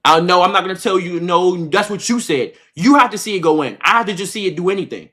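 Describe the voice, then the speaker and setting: High-voiced, fast-talking young male streamer. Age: 20s. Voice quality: slangy, swearing speech. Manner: animated, repetitive retelling with acted-out quotes. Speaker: livestreamer. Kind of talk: casual reaction-stream storytelling to chat.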